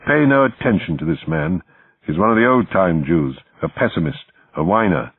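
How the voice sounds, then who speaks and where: A man voicing a burly, arrogant ex-navy officer with a crisp Oxford accent in an audiobook. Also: low-pitched, lively intonation, moderate pace, full character voice. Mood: scornful. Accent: Oxford English. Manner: crisp, scornful. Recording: muffled, phone-like, roomy audio.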